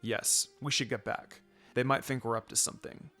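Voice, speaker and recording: Casting: quiet young ninja man for a story reading, done by a man, flat delivery, protective, reserved. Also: mid-range voice, normal speed, soft background music, natural voice. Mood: guarded. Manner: monotone, subdued.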